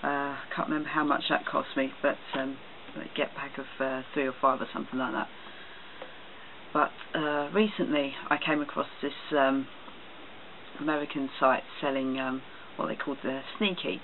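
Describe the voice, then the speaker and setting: Guy doing a casual product vlog, kinda halting, thin phone-like audio with clear noise. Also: medium pitch, steady tone. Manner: hesitant, halting delivery. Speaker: product reviewer. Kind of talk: casual show-and-tell vlog. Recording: tinny phone-like sound, loud noise, clicks.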